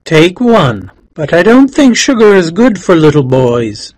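A man voicing a polite, cheerful young American gentleman in his twenties for a story. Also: on the high side, very animated, unhurried, dry recording, voice pushed up. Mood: gently chiding. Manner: courteous, genial.

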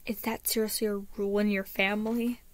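A woman voicing an anxious but sensible young female friend in a story, in a hushed, questioning manner. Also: medium pitch, flat delivery, fairly quick, close to an everyday voice. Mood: wary.

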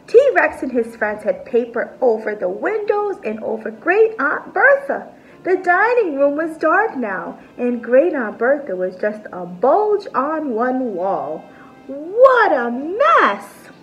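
A woman in her thirties reading a picture book aloud to children like a teacher, expressive and deliberate. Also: high-pitched, low-quality recording. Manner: animated, deliberate, explains terms simply. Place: small decorated room at home, indoors.

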